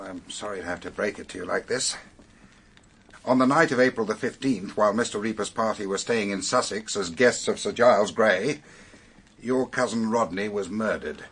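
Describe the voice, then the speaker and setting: A middle-aged actor with an English accent playing a sober, matter-of-fact male police detective in a radio drama, measured and authoritative. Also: on the low side, fairly bright tone, slightly rough.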